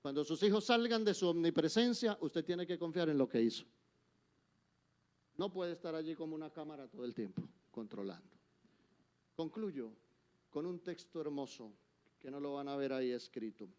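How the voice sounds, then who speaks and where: An older Colombian man preaching in church, his voice sitting a little higher than most men's. He drives his points home with forceful calls to action, then drops to soft, confiding asides. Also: expressive, normal speed, long pauses. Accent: Colombian Spanish. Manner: emphatic, swinging between forceful exhortation and soft, intimate asides. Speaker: guest preacher. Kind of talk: sermon.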